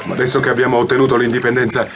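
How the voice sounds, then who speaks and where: An actor playing a poor, skeptical commoner worn out by sacrifice in an audio drama, aggrieved and questioning. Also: male voice, mid-range voice, level tone, racing, crowd noise, lightly acted. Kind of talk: audiobook radio drama acting. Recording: noisy outdoor ambience behind.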